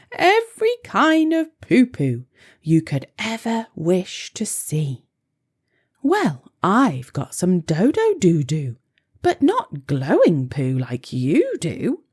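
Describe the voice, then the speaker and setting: A woman voicing an eager, boastful gentleman collector in a children's storybook, with theatrical, gushing delivery. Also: a little high, husky, full character voice. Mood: eager, coveting. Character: eager, showy gentleman collector of exotic poo.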